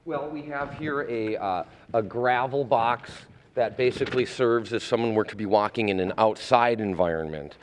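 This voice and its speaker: A man's voice: medium pitch, normal speed, hardly any pauses, long reverb tail, background noise. A man explaining things as a theater director in an interview, wordy and somewhat hesitant.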